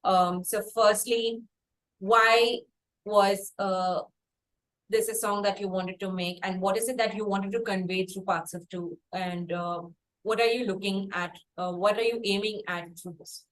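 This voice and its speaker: A woman with an Indian accent hosting a music interview in a conversational, deliberate way with drawn-out pauses. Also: medium pitch, steady tone, low-quality recording.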